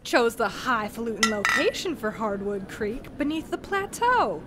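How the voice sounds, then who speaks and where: Woman playing a rambling high-voiced cowboy, noisy recording. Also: very animated, a little slow. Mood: nostalgic, rambling. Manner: rambling, folksy, theatrical storytelling. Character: rambling, folksy male cowboy posse leader, genial and long-winded. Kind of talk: audio drama acting, western.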